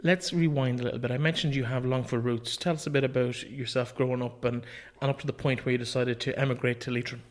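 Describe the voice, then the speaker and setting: A man's voice: medium pitch, steady tone, quick pace, few pauses. A man with an Irish accent and a mid-range voice hosts a podcast. He speaks conversationally, putting questions to his guest as an interviewer.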